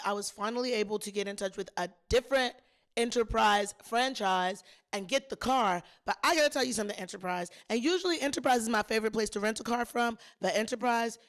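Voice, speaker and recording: Slightly hoarse woman telling stories. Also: mid-range voice, a bit bright. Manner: chatty and emphatic, talks straight to listeners. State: a little hoarse. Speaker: podcast host. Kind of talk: casual solo storytelling.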